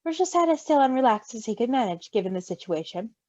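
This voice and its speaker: A woman reading a story aloud expressively, with an animated, giggly manner. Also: on the high side, quick pace, no room sound. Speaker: story reader. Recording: slightly dull, close dry mic.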